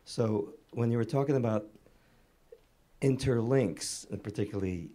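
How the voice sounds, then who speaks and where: A man in the audience asking a halting, technical question at a conference, pausing often. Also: mid-range voice, warm tone. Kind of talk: question from the floor. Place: conference room.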